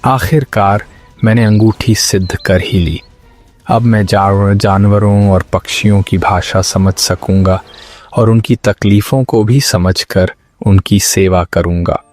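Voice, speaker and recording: A man voicing a stern but compassionate hermit sage in an animated moral story. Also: on the low side, slow pace. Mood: satisfied. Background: music.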